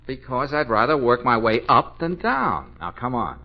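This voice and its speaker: Casting: frazzled, sarcastic American businessman, old radio style, highly animated, muffled vintage sound. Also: normal speed. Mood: weary, grumbling. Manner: stammering, exclaiming, sarcastic. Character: exasperated, flustered businessman boss with sarcastic bite. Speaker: actor in a radio comedy drama. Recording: dull old radio recording.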